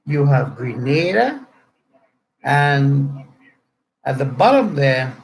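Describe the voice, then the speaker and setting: A man's voice: mid-range voice, very animated, slow, creaky, slight background noise, poor audio. A middle-aged man from Trinidad with a Trinidadian accent, chatting casually to online viewers in a rambling, joking way. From Trinidad and Tobago. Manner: rambling, joking, spells out words to clarify. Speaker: pastor. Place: a room at home.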